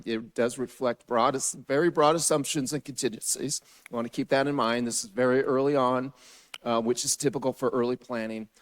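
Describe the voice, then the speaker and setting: A man with a hoarse, raspy voice giving a steady, businesslike staff briefing to a city council in council chambers. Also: mid-range voice.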